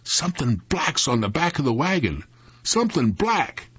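A man's voice: a little high, very animated, slow, a bit bright, breathy edge, no echo, muffled recording, pitched above the performer's own voice, heavily acted. A man voicing a frightened country man in a spooky story, with a rural Southern US accent and a quavering, folksy, panicky way of talking. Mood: panicked, feeble. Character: frightened, trembling country man, wary of ghosts. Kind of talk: dramatic storytelling.

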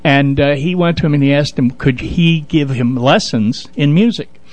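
A man co-hosting a radio show, telling anecdotes conversationally with a halting build-up. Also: on the high side, a little mellow.

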